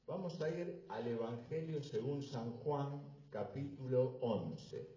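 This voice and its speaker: A male preacher with a dark, mellow voice that swings widely in pitch as he builds to fervent emphasis. He speaks slowly in short phrases, leaving room for an interpreter. The sound is distant, roomy and dull, as if from a church hall. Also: animated. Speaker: visiting preacher. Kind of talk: sermon delivered through an interpreter.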